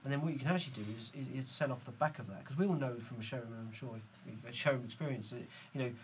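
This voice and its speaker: A man's voice: mid-range voice, rapid-fire, slight background noise. A man hosting a podcast panel, giving strong opinions and often repeating words as he starts sentences. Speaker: host. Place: dim studio lounge with screen.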